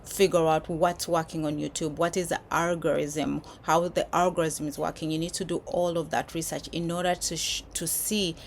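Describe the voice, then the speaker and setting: Low-voiced woman talking to camera. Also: level tone, normal speed, gravelly, hardly any pauses, a little hiss or hum. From Uganda. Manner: rambling, many restarts and fillers. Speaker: YouTube creator. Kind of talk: casual talk to camera. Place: filming while traveling abroad.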